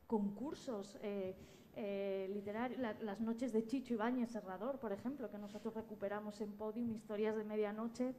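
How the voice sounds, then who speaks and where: A woman's voice: mid-range voice, fairly even intonation, moderate pace, mellow, slight background noise. A woman with a Spanish accent from Spain, speaking enthusiastically and conversationally as a panelist at a live round-table event. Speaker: panelist, podcast producer.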